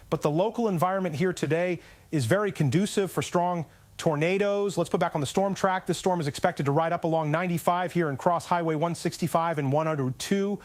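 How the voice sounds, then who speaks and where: A man's voice: high-pitched, no room sound. A man with an American accent giving live severe weather commentary as a television forecaster.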